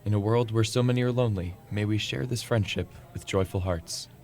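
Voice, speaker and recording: A man playing a gentle, humble family father in a radio drama, affectionate and earnest. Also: lowish voice, fairly quick, close to an everyday voice.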